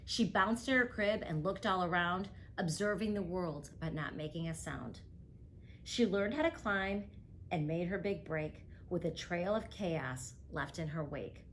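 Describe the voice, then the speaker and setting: Slow, expressive woman reading a rhyming book. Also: on the low side, slight background noise. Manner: rhythmic, expressive rhyming delivery. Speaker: guest reader. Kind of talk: picture book read-aloud.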